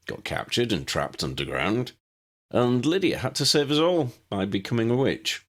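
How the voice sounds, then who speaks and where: Audiobook role: man playing plain-spoken young hero, wry, animated delivery. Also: medium pitch, moderate pace, pitched above the performer's own voice, close to an everyday voice. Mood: wry recounting. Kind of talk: audiobook character dialogue.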